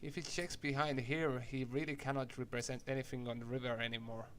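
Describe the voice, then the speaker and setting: A man giving live poker commentary, relaxed and chatty, laughing easily between hands. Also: mid-range voice, brisk.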